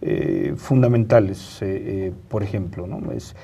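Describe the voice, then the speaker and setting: Husky, mellow middle-aged Mexican man. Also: on the low side, wildly swooping pitch, unhurried. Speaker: journalist, studio guest. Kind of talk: explanatory panel interview talk. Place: TV studio, indoors, round table. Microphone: lapel mic.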